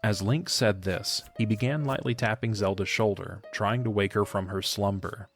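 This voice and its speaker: A man's voice: lowish voice, normal speed. A man narrating an audio drama from a script, as a storyteller. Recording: music under the voice.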